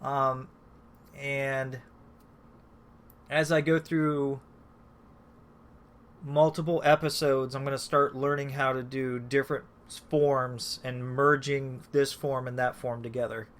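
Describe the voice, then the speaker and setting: A man's voice: medium pitch, flat delivery, takes it slowly, pauses often, a bit bright. A man hosting a casual live stream, rambling and restarting with lots of fillers, just back from being sick. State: recovering from illness. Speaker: host.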